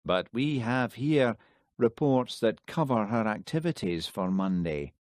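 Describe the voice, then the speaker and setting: A man's voice: mid-range voice, lively intonation, measured pace, dry recording, studio quality, voice pushed up, lightly acted. A man reading an audiobook, voicing a pompous senior police detective who talks in a stiff, officious way. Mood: brusque. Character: pompous male detective superintendent.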